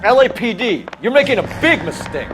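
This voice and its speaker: Tough, high-strung police detective. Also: male voice, sing-song, a little fast, background noise. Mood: defiant, forceful. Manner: commanding, threatening. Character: tough, hard-nosed male police detective. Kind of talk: video game voice acting. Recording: music behind.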